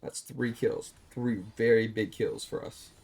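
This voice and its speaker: A man calmly walking through a replay of his own game, explaining his choices, with a slightly stuffed-up voice. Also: mid-range voice, unhurried. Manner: calm, explanatory, self-deprecating. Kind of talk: gameplay commentary over a replay.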